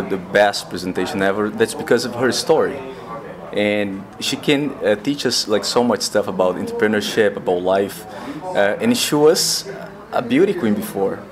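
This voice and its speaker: Guy doing a casual interview, says uh and like a lot, busy place. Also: on the low side. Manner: casual, fillers like "like" and "uh". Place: restaurant in Bangkok. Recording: busy crowd noise behind.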